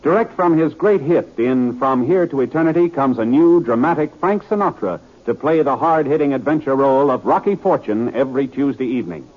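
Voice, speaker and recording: A man reading scripted announcements in a formal, polished 1950s radio network style. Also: mid-range voice. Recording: tinny 1950s radio broadcast, music behind.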